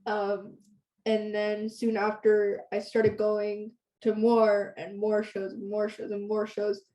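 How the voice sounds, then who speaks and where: Teenage girl, grew up speaking Hebrew, mellow tone, casual interview guest, chatty with lots of "like". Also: mid-range voice, monotone, slow pace, rough recording. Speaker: guest singer-songwriter.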